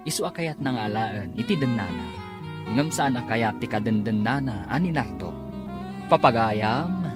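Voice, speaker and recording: A man announcing and recapping a radio drama in a formal, measured, dramatic way. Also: medium pitch. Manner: measured, dramatic, drawn-out delivery. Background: guitar music bed.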